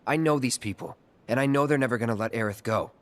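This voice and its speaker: A male actor plays a determined young man in a dramatic game cutscene. His mid-pitched voice is grave and insistent, pressing each point with earnest emphasis. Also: fast talker, natural voice. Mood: urgent pleading. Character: earnest, determined man pleading urgently for a captive friend.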